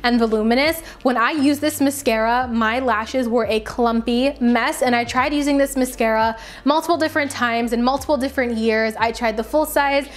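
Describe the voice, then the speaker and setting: A woman beauty vlogger giving a casual, chatty product review to camera, emphatic and conversational, her voice slightly raspy and tired from talking. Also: on the high side, moderate pace, few pauses.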